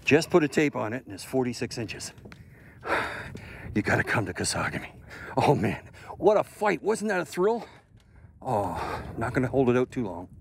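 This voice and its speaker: A man's voice: mid-range voice, vocal fry, slight background noise. An older man hosting a fishing show from a small boat on a lake, talking and exclaiming as he fights fish. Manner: excitable, laughing, exclaiming. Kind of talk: on-the-water fishing commentary.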